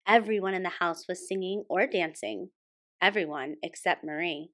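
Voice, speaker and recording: A woman's voice: fairly low pitch, moderate pace, a bit bright. Woman reading a children's storybook aloud. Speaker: storytime host and reader. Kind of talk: children's picture book read-aloud, scripted narration.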